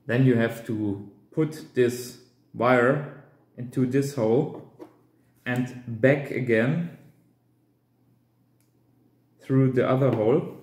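Slow-paced man in an echoey room. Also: medium pitch, darkish tone. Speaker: amateur radio hobbyist presenter. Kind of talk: hands-on DIY tutorial. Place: hard-walled room indoors at a table. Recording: roomy, echoing indoor sound.

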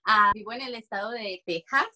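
Young mexican woman telling her own story on a video call, kinda halting, searching for words. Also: mid-range voice, sharp tone. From Durango, Mexico. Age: young adult. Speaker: interview guest giving a testimonial. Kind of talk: conversational personal testimony. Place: small room at home, video call.

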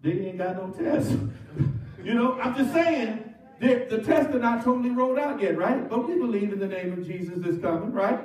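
A middle-aged man preaching a sermon as pastor, animated and full of call-and-response. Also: extremely high pitch, moderate pace. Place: church sanctuary with cross wall. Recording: roomy church from far off.

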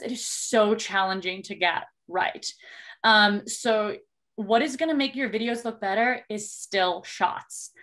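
A woman's voice: mid-range voice, fairly bright tone. A woman from South Africa with a South African accent, teaching an online video workshop over a video call in a chatty, explanatory way with frequent ums.

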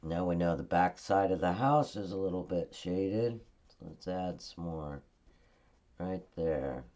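Low-voiced woman teaching a craft. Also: unhurried, highs cut off. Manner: calm, instructive, narrating her hands-on work. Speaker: card-making instructor. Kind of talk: step-by-step craft tutorial. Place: home craft table.

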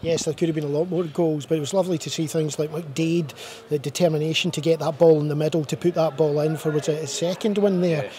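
A man's voice: fairly high pitch, fairly even intonation, fast talker, hardly any pauses, warm tone. A man interviewing football managers for a club's media channel, asking friendly leading questions. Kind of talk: post-match interview questions.

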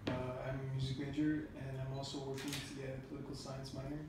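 A man's voice: mid-range voice, monotone, quick pace, music in the background. Young American man, very echoey and distant. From California, USA. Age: young adult. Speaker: student intern. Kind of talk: informal self-introduction. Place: small office meeting room. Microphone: distant camera mic. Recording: echoey, noisy room, far mic.

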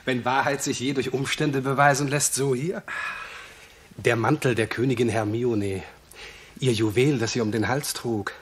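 An actor playing a gossipy court steward, eloquent and drily amused, in a 1960s German radio play. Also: male voice, mid-range voice, steady tone, moderate pace, close to an everyday voice. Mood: wryly amused. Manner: eloquent, wry storytelling.

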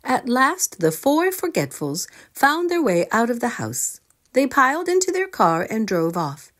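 A woman's voice: a little high, unhurried. A woman reading a children's storybook aloud, expressively, as a storyteller addressing young listeners. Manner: expressive, asking listeners questions.

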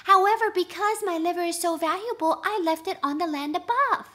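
Woman as a very squeaky, clever rabbit trickster. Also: brisk, bright, forceful tone. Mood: flattering, sly. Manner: polite, wily, theatrical.

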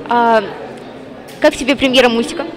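A woman's voice: fairly high pitch, steady tone, normal speed. A woman working as a TV reporter, presenting and asking questions on location in a cinema foyer. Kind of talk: on-location vox-pop interviewing. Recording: busy public hall with crowd.

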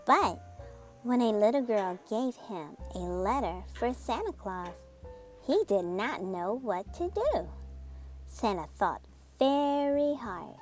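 A woman reads a children's story aloud in a highish, very lively voice. She takes her time, with music playing behind her. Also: takes it slowly. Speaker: children's book reader, channel host. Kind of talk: expressive storybook read-aloud.

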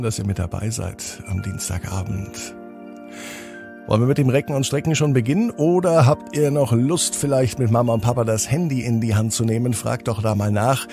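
A man hosting a children's bedtime story podcast, gently addressing young listeners with drawn-out emphasis. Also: medium pitch, vocal fry.

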